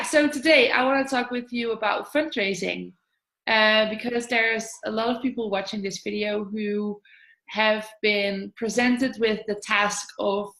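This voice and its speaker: A woman in her twenties from the Netherlands, hosting a casual interview over a video call in a friendly, unhurried way. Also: medium pitch, bright, forceful tone. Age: 20s. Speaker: host. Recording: echoey room.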